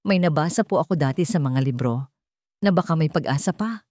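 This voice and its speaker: Humble hospital janitor played by a female narrator, timid, respectful, soft-spoken, storybook drama, dry sound. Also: low-pitched, animated, a little fast, no echo. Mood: hesitant.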